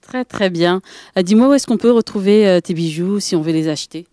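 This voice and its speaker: A woman's voice: mid-range voice, fairly quick, warm tone, smooth, dry recording. Upbeat woman TV host, dry sound. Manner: enthusiastic, complimentary. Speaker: TV show host. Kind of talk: TV interview hosting.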